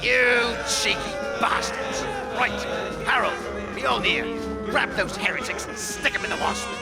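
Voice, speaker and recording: A man playing a gruff, curt cult henchman barking commands in a comedy audio drama. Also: very high-pitched, measured pace, loud music behind the voice, full character voice. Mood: outraged. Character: gruff, bossy male cult enforcer barking orders.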